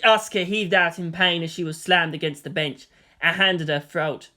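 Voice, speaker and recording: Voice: medium pitch, normal speed, heavy background noise. A narrator reading an action story aloud in a dramatic, scripted storytelling style.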